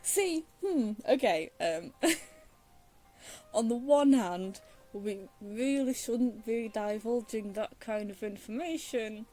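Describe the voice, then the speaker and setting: A woman commentating on a game in a fairly high, mellow voice. She talks slowly and casually, hesitant and rambling with a giggly manner. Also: animated, faint music behind, low-quality recording. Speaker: video game playthrough commentator. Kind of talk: casual reaction commentary while gaming.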